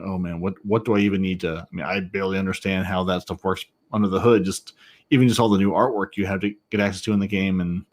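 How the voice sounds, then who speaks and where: A man co-hosting a gaming podcast, chatting casually in a wry, rambling way. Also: on the low side. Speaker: podcast co-host.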